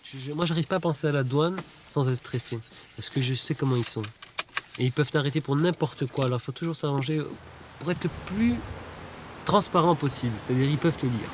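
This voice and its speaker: A man from Morocco speaking to camera about himself, anxious and self-deprecating, with halting restarts. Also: fairly high pitch, noisy background. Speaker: interviewee. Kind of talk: personal testimony to camera. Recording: strong music bed under voice.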